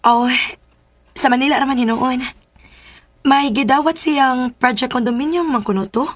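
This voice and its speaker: A woman's voice: high voice, moderate pace. A woman playing a lovestruck, clingy adolescent girl in a radio drama, with a pleading, girlish manner. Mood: pining. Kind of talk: Filipino radio drama acting.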